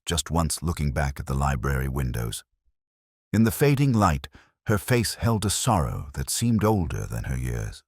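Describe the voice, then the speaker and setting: Casting: male actor for weary detective narrator, extremely low voice, solemn and measured yet with lively rises and falls, mystery audio drama. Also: dry recording, clean studio sound, full character voice. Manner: measured, solemn, literary delivery. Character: world-weary, reflective male English police detective narrator. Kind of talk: scripted first-person dramatic narration.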